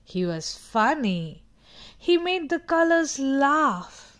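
A woman reading a children's storybook aloud with dramatic, deliberate phrasing. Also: high-pitched, sing-song, a bit bright. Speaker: storybook reader. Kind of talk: expressive children's read-aloud narration.

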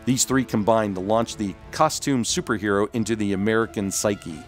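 Guy with a lowish voice doing scripted documentary narration, music behind him. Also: moderate pace. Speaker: video host, narrator.

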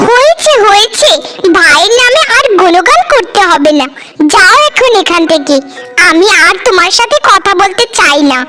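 Woman acting shrill, nagging cartoon village housewife. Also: animated, normal speed, full character voice. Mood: angry, dismissive. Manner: scolding, complaining, sarcastic. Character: discontented, envious, nagging young village housewife. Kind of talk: cartoon voice acting in dramatic dialogue. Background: loud background music.

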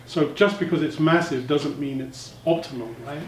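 Older man, slightly high voice. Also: moderate pace. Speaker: interviewer, magazine editor. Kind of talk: technical interview conversation. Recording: roomy, distant mic, faint background noise.

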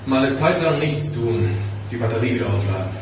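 A man's voice: medium pitch, lively intonation, brisk, natural voice. An actor playing a weary, matter-of-fact male lift mechanic in a radio crime drama. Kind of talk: naturalistic 1980s German radio crime drama acting. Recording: very echoey, distant, some noise.

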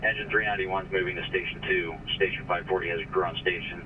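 A man's voice: low voice, level tone, quick pace. A man giving procedural fire service reports over a two-way radio. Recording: tinny, distant, noisy radio transmission.

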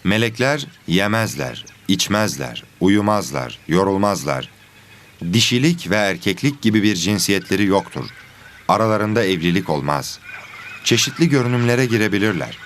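A man playing a patient religion teacher in an educational children's cartoon, explaining things clearly and didactically. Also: on the low side, a little hiss or hum. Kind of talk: scripted educational cartoon dialogue.

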